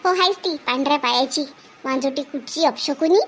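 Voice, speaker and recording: A woman's voice: high-pitched, a little fast, background noise. A woman voicing a spiteful young sister who scolds sarcastically in an amateur cartoon family drama, with a Vidarbha Marathi accent. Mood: angry, scornful.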